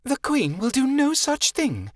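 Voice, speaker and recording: A man voicing an excitable fairy woman who speaks of herself by name. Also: high voice, normal speed, no room sound, clean studio sound, voice pushed up. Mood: stern.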